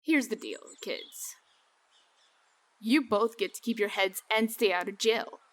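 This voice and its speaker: A woman voicing a brisk, shrewd female official in a comic dub, firm, dry and sardonic in manner. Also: mid-range voice, a bit bright, lightly acted. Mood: stern, businesslike.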